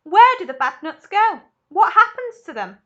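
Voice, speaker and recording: Woman playing hysterical rich mum, very high shrieks, frantic, bright tense tone. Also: big pitch swings, a little fast, muffled recording, heavily acted. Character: shrieking panicky rich mother.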